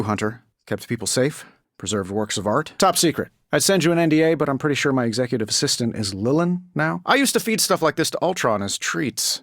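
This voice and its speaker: An actor playing a witty, cocky billionaire inventor superhero in a video game, with a glib, sardonic, conversational delivery. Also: male voice, on the high side, a bit bright, no room sound, clean studio sound, full character voice. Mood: wry, quippy. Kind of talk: video game character voice lines.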